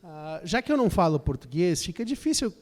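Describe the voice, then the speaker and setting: A man's voice: high-pitched, sing-song, a little fast, darkish tone. Hesitant male interpreter in a hall. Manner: hesitant starts with fillers and repeated words. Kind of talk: consecutive interpreting of a lecture. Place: lecture hall. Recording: long hall reverb.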